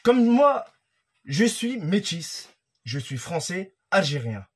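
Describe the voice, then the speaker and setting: Husky-voiced man ranting heatedly to camera. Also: sits high, big pitch swings, slow pace. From France. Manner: heated and accusatory, talking straight to the target. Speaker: YouTuber commentator. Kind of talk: casual rant to camera. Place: room at home with a creaky wooden floor.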